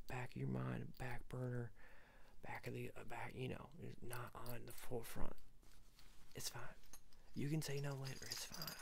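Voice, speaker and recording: A man playing a folksy, rambling ring salesman in an ASMR roleplay, speaking in a gravelly, breathy half-whisper and repeating his gentle pitch. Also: slight background noise, natural voice. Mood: gently persuasive. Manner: rambling, repetitive, folksy, soft-pedalled selling.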